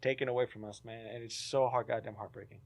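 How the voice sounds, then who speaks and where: A man co-hosting a podcast in casual chat, animated, swearing freely and sinking into low, heavy tones. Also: fast, gravelly. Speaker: podcast co-host.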